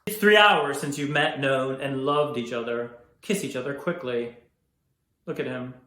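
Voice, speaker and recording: A man's voice: medium pitch, measured pace, bright, forceful tone. A man playing an eccentric, romantic madwoman of Paris, urgent and commanding in a theatrical monologue. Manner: theatrical, insistent. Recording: echoey room.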